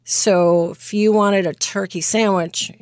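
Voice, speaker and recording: A woman in her fifties from Greece, a nurse practitioner telling her story as an interview guest, earnest and quick to laugh. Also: medium pitch, steady tone, no echo. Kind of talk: casual interview.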